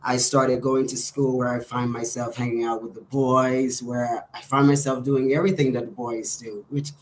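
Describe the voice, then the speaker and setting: Male vlogger, thirties, Bahamian accent, medium pitch. Candid personal storytelling, slightly noisy home-style recording. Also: little pitch movement, moderate pace. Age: 30s.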